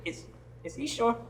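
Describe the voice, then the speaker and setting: Guy voicing a nervous stammery dude, high swoopy voice. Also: slight background noise, full character voice. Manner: jittery, stammering. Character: nervous, flustered young man. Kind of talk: student radio drama acting.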